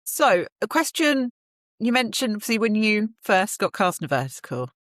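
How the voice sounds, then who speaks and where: A woman's voice: mid-range voice, big pitch swings, normal speed, no room sound, clean studio sound. A woman hosting a casual interview, responding with enthusiasm and appreciation as she puts her questions. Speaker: interviewer, show host.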